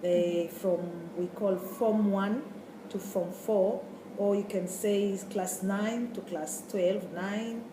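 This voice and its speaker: A woman speaks haltingly, pausing on "uh" and restarting her sentences as she explains. She sounds roomy and set back from the microphone. A steady noisy ambience runs underneath her voice. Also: medium pitch. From Tanzania. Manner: hesitant, many uh pauses and restarts. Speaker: secondary school principal. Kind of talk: casual interview answers. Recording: echoey room, far from mic, noisy.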